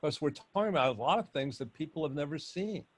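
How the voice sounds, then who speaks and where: A man moderating an online panel, talking loudly and chattily with many restarts. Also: fairly high pitch, lively intonation, fast talker, slightly rough. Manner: loud, chatty, rambling with restarts. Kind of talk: panel discussion on video call. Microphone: video call.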